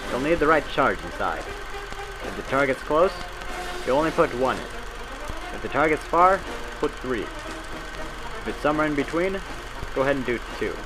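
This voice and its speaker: A man reading scripted narration in the style of a 1940s military training film, brisk and instructive with folksy old-time phrasing. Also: mid-range voice, lively intonation, music in the background, low-quality recording.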